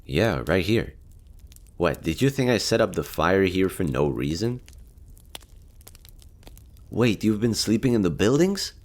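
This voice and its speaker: A man's voice: deep voice, big pitch swings, measured pace, pauses often, darkish tone, exaggerated voice. A man acting as a lonely, easygoing survivor of a nuclear apocalypse in an audio roleplay, talking casually and candidly with some hesitation. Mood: casual, upbeat.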